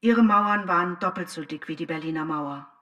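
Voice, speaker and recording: An actress playing an ironic, restless storyteller in a deliberate, declamatory theatrical monologue. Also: female voice, fairly low pitch, far from the mic, muffled recording, background noise, close to an everyday voice. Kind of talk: theatrical scripted monologue with narration. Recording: music behind, voice off the mic. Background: music.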